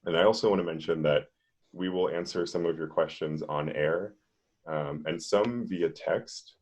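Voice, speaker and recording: A man's voice: low-pitched, normal speed, a little mellow, breathy edge. A man moderating an online panel over a video call, explaining things steadily with frequent "um"s. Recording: muffled, lo-fi video call audio.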